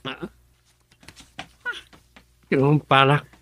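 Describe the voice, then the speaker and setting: An evasive, defensive young husband with an Ilocano accent, middle-pitched and close to the mic, as a man acts him in a radio drama. The recording is dry. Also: completely flat delivery, drawn-out words, a bit bright, no echo. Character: young husband dodging his wife's questions.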